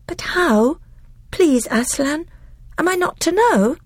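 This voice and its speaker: A woman voicing an eager, loving young girl who talks high and breathlessly, often pleading. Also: expressive, slow, no room sound.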